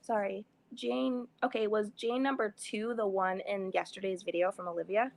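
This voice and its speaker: A woman talks casually on a live panel call, with a gravelly, fry-laden edge to her voice. She explains her point at length and keeps acting out what she was thinking. Her pitch sits in the ordinary middle range. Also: steady tone, normal speed, a crowd in the background. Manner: chatty, explains at length, sprinkles in quoted thoughts. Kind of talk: casual live-stream chat.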